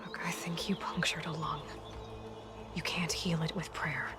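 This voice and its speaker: Game lead: steady young huntress, slightly low register, compassionate but blunt, score playing underneath. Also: female voice, measured pace. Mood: wry concern. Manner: frank, reasoning, understated. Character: pragmatic, steady young heroine, wry and compassionate warrior. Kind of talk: video game cutscene acting. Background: music.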